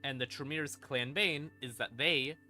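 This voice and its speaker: A man with a bright, forceful voice talks like a casual streamer walking viewers through lore. He is informal and emphatic, with swearing mixed in. His pitch sits in an ordinary middle range, and ambient music plays behind him. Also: measured pace. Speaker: live streamer, host. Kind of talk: casual lore explainer on a livestream. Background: creepy ambient music.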